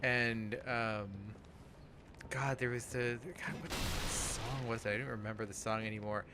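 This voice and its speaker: A man of about forty chatting casually over gameplay, rambling and halting, with plenty of swearing. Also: medium pitch, fairly even intonation, noisy background. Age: around 40. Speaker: host of a gaming let's play podcast.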